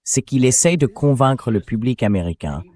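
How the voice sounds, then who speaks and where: A man's voice: mid-range voice, expressive, normal speed, warm tone. A man's synthetic voice reading a translated voice-over as the host of a political discussion show.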